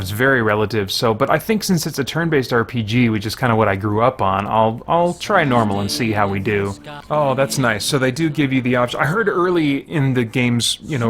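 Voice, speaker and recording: A man hosting a game review series, giving casual commentary in a conversational way, as if thinking aloud. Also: mid-range voice.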